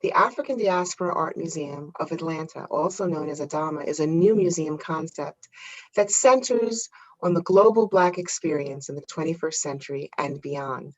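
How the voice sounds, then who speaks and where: A woman hosting an arts talk, with a formal, measured way of speaking. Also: low-pitched, a little mellow, poor audio. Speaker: host. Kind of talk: scripted intro and interview questions.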